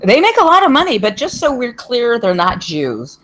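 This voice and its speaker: A woman's voice: a little high, huge pitch swings, normal speed, fairly bright tone, full character voice. Woman playing a cranky, bigoted old grandmother. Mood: cranky, indignant. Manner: blunt, opinionated, grumbling. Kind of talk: scripted table read of a comedy screenplay.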